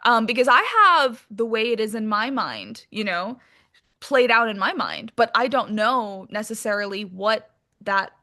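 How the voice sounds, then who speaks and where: A woman with a very bright, forward voice gives a candid interview. She talks informally and with animation, in a slightly high register, like someone chatting casually. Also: measured pace. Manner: animated, informal, peppered with "like" and "you know". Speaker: interview guest, actress.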